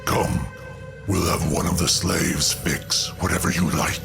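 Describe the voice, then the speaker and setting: Menacing alien tyrant, heavy gravel, slow delivery, hoarse, motion comic villain. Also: male voice, sits low, little pitch movement, music in the background, exaggerated voice. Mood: stern, protective.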